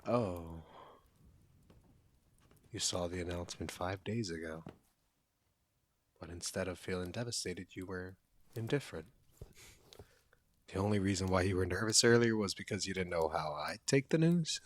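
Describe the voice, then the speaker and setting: Man acting a low-voiced, caring young college friend. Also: normal speed, long pauses. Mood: surprised, relieved. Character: caring, protective young male college friend, secretly smitten. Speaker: audio roleplay performer. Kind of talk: intimate boyfriend ASMR roleplay, one-sided dialogue.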